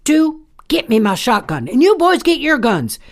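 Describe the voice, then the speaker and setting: A woman's voice: high-pitched, big pitch swings, normal speed. An actress playing a scheming, bossy outlaw mother in a comic Western audio drama, with a folksy, commanding American drawl. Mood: angry, determined.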